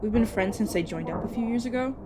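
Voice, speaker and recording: A woman's voice: fairly high pitch, fast talker, natural voice. A woman playing a weary, apologetic supernatural agent in an audio roleplay, explaining things patiently with hesitant, casual asides. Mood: casual, informative. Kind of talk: narrative audio roleplay acting, addressed to listener. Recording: clearly noisy, background ambience effects.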